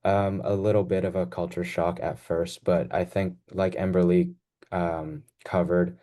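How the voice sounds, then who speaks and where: A young man from the United States, a student on a panel, answering in a relaxed way with many "you know"s. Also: low voice, measured pace. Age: young adult.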